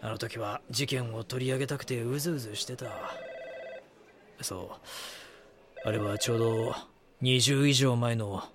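A man playing a calm, measured chief editor mentoring a junior in a Japanese voice drama. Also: mid-range voice, noisy background, close to an everyday voice. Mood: reflective. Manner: instructive, composed.

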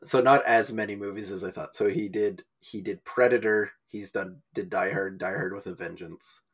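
A man's voice: fairly low pitch, fairly even intonation, normal speed, breathy edge. A man co-hosting a podcast, chatting casually about movies and directors. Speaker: podcast co-host.

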